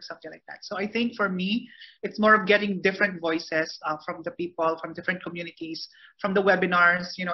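A woman poet speaking earnestly on a video call panel, halting now and then, with soft laughs. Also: on the low side. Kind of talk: casual panel talk. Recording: muffled video call audio.

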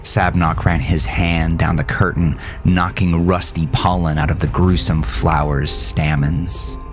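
A man with a low voice narrates a first-person horror tale. He is solemn and measured, taking his time over every phrase, with music clearly playing underneath. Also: flat delivery.

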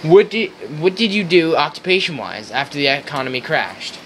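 A man's voice: fairly high pitch, very animated, normal speed, fairly bright tone, slight background noise, poor audio. A young man playing an earnest 1930s radio host, reading scripted interview questions in an amateur skit. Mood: formal. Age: young adult.

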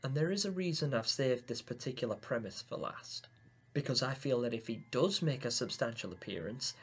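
A man in his twenties hosting a scripted theory video, speaking in an expressive, theatrical storytelling way. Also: mid-range voice, slightly rough. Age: 20s. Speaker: video host. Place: small room at home, indoors.

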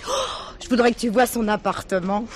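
A woman's voice: on the high side, fairly even intonation, fairly quick, slight background noise, close to an everyday voice. An actress playing a brassy, nosy young working girl in a radio drama, slangy and teasing. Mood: mocking. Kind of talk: French radio drama acting.

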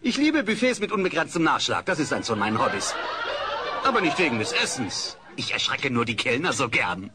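An actor playing a jovial, boisterous fat stand-up comedian doing a routine in a radio play. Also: male voice, medium pitch, wildly swooping pitch, brisk, a loud crowd, heavy background noise. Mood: boisterous, joking.

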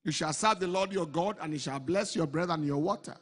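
A middle-aged man preaching a sermon into a handheld mic on a large church stage. He goes from slow, measured phrases to loud, emphatic declarations. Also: a little high. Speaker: pastor. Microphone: handheld microphone. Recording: long hall reverb, slightly breathy.

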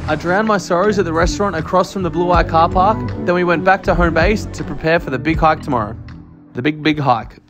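A man narrates his travel video in a voice-over, talking quickly with a slightly high voice. His tone is upbeat and wry. Music plays clearly behind him. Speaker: travel vlogger.

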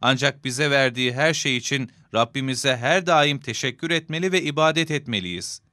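A man's voice: mid-range voice, normal speed, bright, forceful tone, no room sound, studio quality, lightly acted. A man playing a kind, devout middle-aged father in a children's cartoon, speaking in an instructive, fatherly way. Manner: instructive, fatherly, clearly enunciated.

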